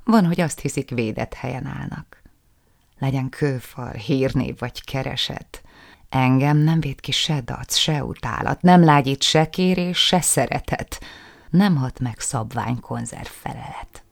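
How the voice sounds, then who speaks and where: Husky, gravelly voice reciting menacing poetry. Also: medium pitch, no room sound, clean studio sound. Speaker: poem reciter.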